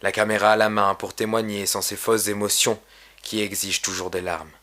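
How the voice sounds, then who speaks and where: Guy reading his poem out loud, kinda low voice, serious and measured. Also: little pitch movement. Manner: solemn, measured, literary delivery. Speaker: poet reading own poem. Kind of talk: poetry recitation.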